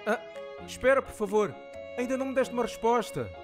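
A man plays a timid young anime man, earnest and easily flustered. His European Portuguese delivery swings widely in pitch, over faint background music. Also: big pitch swings, fairly quick. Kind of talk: anime fan dub acting.

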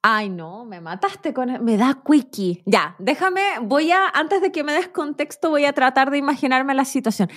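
A woman's voice: high-pitched, expressive, normal speed, few pauses, fairly bright tone, no echo, studio quality. A woman with a Chilean accent hosting a podcast as a casual, playful conversation, laughing often. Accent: Chilean Spanish. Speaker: podcast host.

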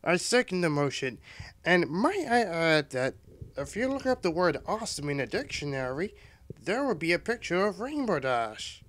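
Dim young male cartoon pony, high voice, swooping intonation, cheerful, goofy, slow-witted. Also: very animated, fairly bright tone. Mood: earnest.

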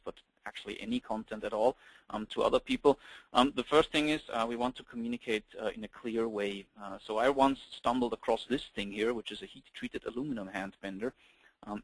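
Man, Austrian German accent, webinar presenter, middle-aged, quick with fillers, dry close audio. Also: fairly low pitch, no room sound, rough recording. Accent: German-speaking Austrian. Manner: quick, with many ums and uhs. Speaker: webinar presenter, company founder. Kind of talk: presenting a webinar over slides. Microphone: computer mic on a webinar call.

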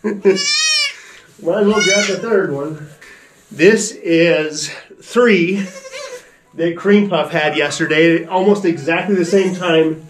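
A man in his forties calmly explaining his goats to camera inside a wooden barn. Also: high-pitched, slow, pauses often, noisy background. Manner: relaxed, chatty, matter-of-fact. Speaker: ranch owner and vlogger. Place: wooden barn goat pen.

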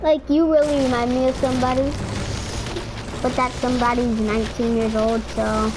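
Woman, higher pitch, casual rambling gamer, filler-heavy chatter, noisy game audio. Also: flat delivery, normal speed. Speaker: streamer playing in a squad. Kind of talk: casual gaming chat.

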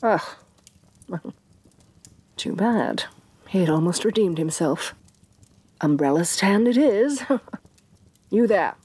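A woman playing a haughty, domineering evil sorceress queen, soft-spoken and imperious in a fantasy ASMR roleplay. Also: mid-range voice, lively intonation, slow pace, pauses often, slightly rough, no echo. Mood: exasperated, commanding. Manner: imperious, condescending, theatrically disdainful.

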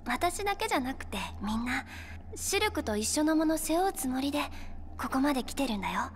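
A caring young heroine, high and girlish. She talks tenderly and casually in game-cutscene style, with faint noise behind her. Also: lively intonation, a little fast, big-room sound. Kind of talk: Japanese video game cutscene acting.

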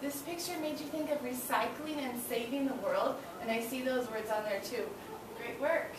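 Young female primary teacher, high voice, encouraging and instructive; distant mic with clear room noise. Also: moderate pace. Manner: encouraging, instructing in simple short phrases. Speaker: elementary school teacher. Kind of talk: classroom read-aloud lesson for young children. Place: school classroom, indoors. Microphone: far camera. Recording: echoey classroom, distant camera, loud room noise.

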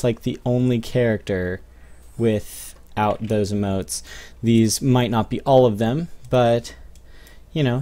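A young man hosts a gaming video in a casual, conversational style. His voice sits at a middle pitch, and he comments off the cuff on what he is looking at in the game. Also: dark tone.

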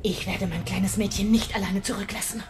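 A woman's voice: mid-range voice, fairly even intonation, fairly quick, noisy background, lightly acted. An actress playing a distraught, defiant young mother in an audio thriller. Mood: menacing, desperate. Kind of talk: German audio thriller acting.

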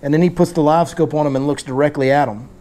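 A man, hosting a casual fishing seminar and talking in animated bursts in a tackle shop. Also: fairly high pitch. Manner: animated, gestures a lot, fast bursts. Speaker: fishing guide and seminar host. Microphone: lapel mic.

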